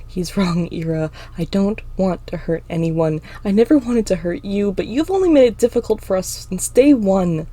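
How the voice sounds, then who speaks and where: A woman voicing an anguished, defiant teenage demon girl in a fantasy story, pleading in impassioned shouts. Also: mid-range voice, lively intonation, normal speed, hardly any pauses, lightly acted. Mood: hurt, defiant. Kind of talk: dramatic fantasy audiobook acting.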